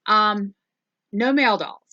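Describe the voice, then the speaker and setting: A woman's voice: medium pitch, takes it slowly, bright, forceful tone, no echo, highs cut off. Rambling woman reviewing dolls. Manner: rambling, lots of ums and self-corrections. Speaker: toy review channel host. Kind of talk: casual chatty doll review.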